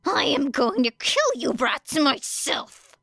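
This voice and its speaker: A woman voicing a cruel young male villain who grinds out slow threats. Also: high voice, very animated, creaky, fairly bright tone, no echo, clean studio sound, pitched above the performer's own voice, exaggerated voice. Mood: seething.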